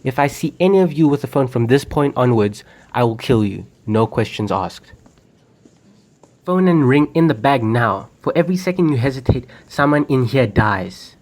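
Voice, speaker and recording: A man's voice: medium pitch, expressive, normal speed, darkish tone, natural voice. Young man as a curt, threatening bank robber. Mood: menacing. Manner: commanding, curt. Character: threatening, impatient male armed bank robber. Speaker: student actor. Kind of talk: amateur radio drama acting.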